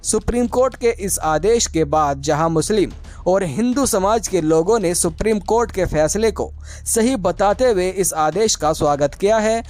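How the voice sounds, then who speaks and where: A man's voice: high-pitched, darkish tone. A man reporting in a scripted news narration style.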